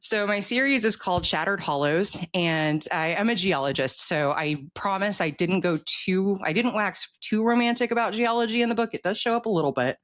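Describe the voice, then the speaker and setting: An American woman in her forties talking casually as an interview guest, telling stories in an animated way. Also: mid-range voice, fairly quick, hardly any pauses, no room sound. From United States. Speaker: author guest, military veteran.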